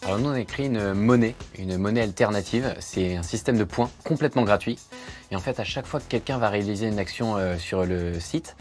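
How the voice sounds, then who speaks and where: A very low-voiced man explains his lending platform for a TV report. He talks steadily, dropping frequent fillers between items. Soft music plays underneath. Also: a little fast. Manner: fluent with many fillers. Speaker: startup founder interviewed. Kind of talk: explaining a project in an interview.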